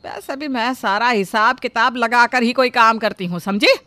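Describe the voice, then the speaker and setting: A woman voicing a bossy, sharp-tongued older mother-in-law who scolds and commands her family. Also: on the high side, moderate pace, noisy background, lightly acted. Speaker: voice actor. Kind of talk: Hindi storybook drama acting.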